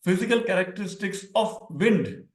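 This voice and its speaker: A man's voice: high-pitched, animated, normal speed, roomy sound. A man from India with an Indian accent, a native Hindi speaker, explaining ideas as a scholar guest in an academic discussion and repeating words for emphasis.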